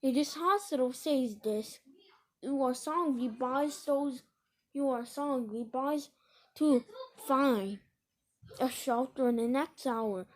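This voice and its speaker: A woman's voice: sits high, a little slow. A woman reading a scripted emergency broadcast announcement in a formal, stilted, solemn way.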